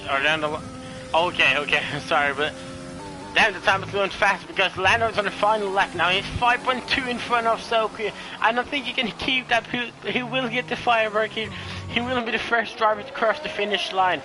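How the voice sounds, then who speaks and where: A man's voice: fairly high pitch, rough recording. A man with a Norwegian accent, a second-language English speaker, doing solo live commentary of a sim race, hesitant with many fillers and self-corrections.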